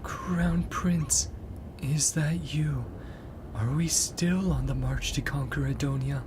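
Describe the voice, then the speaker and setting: Man voicing a mournful fallen soldier, pitched up. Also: slow pace, background noise. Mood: dazed, anxious. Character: fallen male soldier comrade, mournful and reproachful. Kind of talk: dramatic game dialogue read aloud.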